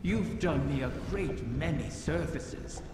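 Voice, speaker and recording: An actor voicing an ancient, cunning wish-granting dragon in a video game, silky and taunting, with cavernous reverb on the voice. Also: male voice, on the high side, very noisy. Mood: sly, taunting. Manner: silky, taunting, drawn-out phrasing. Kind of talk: video game dramatic dialogue. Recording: heavy reverb, music behind.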